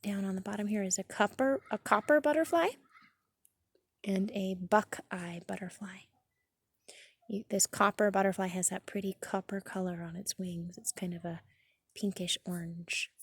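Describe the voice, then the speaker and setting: A woman with a mid-pitched voice reads a children's picture book aloud outdoors. She talks like a teacher, pausing to explain things in simple, chatty words for young listeners. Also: fairly even intonation, breathy edge. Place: outdoors in a backyard garden.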